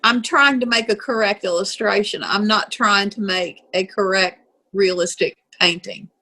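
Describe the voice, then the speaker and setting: Woman, mid-pitch, art class participant, thoughtful and earnest, video call audio. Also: moderate pace, pushed and bright, poor audio. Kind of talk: casual discussion.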